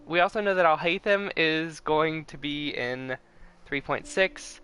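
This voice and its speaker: A male streamer talks in a bright, slightly high voice. His chat is informal, wry and self-deprecating, with some game music behind him. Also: measured pace, clear, resonant tone. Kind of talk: casual livestream chat and commentary.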